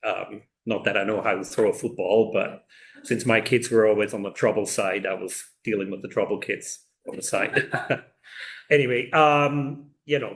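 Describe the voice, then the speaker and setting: Low-voiced middle-aged man with Swiss accent. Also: a bit bright. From Switzerland. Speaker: committee applicant. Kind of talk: informal self-introduction at a public meeting. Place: indoor public meeting room.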